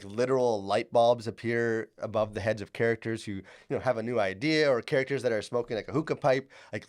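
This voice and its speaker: Man, sick, voice worn down, casual film review, filler-heavy, restarting sentences, average pitch. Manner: chatty, many fillers and restarts. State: sick, lost his voice. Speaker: film reviewer, channel host.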